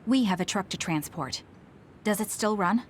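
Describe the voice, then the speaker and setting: A woman's voice: medium pitch, lively intonation, moderate pace, slight background noise, lightly acted. Earnest, resolute young woman soldier played by a woman. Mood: businesslike. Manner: serious, direct. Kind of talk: dubbed anime-style video game acting.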